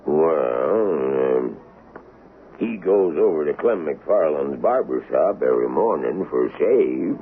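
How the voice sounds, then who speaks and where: A folksy, easygoing frontier sheriff with an American accent and an extremely deep voice. He talks like a small-town gossip in a vintage radio drama, thin in sound with faint noise. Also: expressive, slow pace, narrow, tinny audio, heavily acted. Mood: folksy, chatty. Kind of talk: 1970s radio drama acting.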